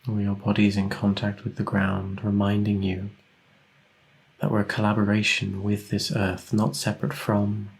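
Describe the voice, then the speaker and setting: A man leading a guided meditation as a teacher, giving gentle, unhurried instructions with long pauses. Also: fairly low pitch, monotone.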